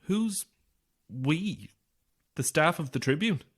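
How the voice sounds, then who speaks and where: A woman's voice: deep voice, expressive, slow pace, husky, heavily acted. Frightened, swooping young woman. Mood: wary, frustrated. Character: frightened young woman trapped in a house, defiant and questioning. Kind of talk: naturalistic horror audio drama acting.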